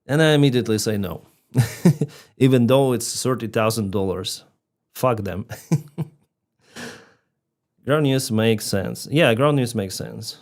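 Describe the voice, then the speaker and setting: A man's voice: mid-range voice, slow pace, pauses often, darkish tone. A man from Crimea with a Ukrainian accent, whose first language is Russian, chatting casually on a live stream, reading out donations and laughing often. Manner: chatty, laughs often, reads out donor names.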